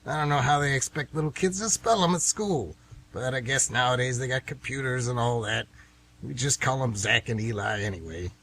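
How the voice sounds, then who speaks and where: A man's voice: mid-range voice, a little fast, fairly bright tone, slight background noise, natural voice. An actor playing a folksy, grumbling retired man who rambles and gets defensive, in a radio drama. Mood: folksy, chatty. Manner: rambling, folksy, plain-spoken.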